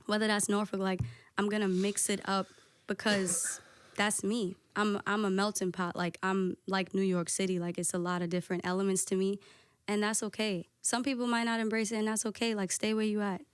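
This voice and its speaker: Soft-voiced woman DJ, candid chat. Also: medium pitch, little pitch movement, fairly quick, clear, resonant tone, dry recording, clean studio sound. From United States. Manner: candid, lots of "like" and profanity. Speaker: podcast guest, DJ. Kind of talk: casual podcast chat.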